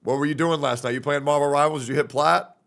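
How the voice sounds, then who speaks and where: A man's voice: on the high side, fairly even intonation, fast talker, creaky, a bit bright. A man hosting a gaming stream, chatting on a voice call with crude, teasing banter. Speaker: streamer host.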